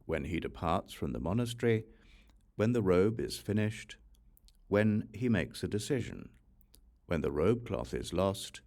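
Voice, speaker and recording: Low-pitched, gravelly male audiobook narrator. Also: sing-song, a little mellow. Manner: measured, deliberate reading. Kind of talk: scripted narration of a religious text.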